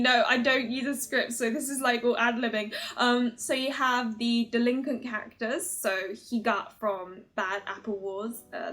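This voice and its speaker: A woman hosting an ad-libbed, chatty video commentary, rambling along in an excitable, giggly manner. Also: on the high side. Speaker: video host introducing games and voice actor's roles. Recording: music playing under the voice. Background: background music.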